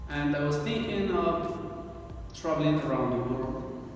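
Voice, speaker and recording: Teacher, unhurried and hesitant, mic far away in a reverberant hall. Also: male voice, on the high side, expressive, faint music behind. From Algeria. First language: Arabic. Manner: hesitant, with long pauses. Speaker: English teacher. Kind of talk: interview answers. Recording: distant mic in an echoey hall.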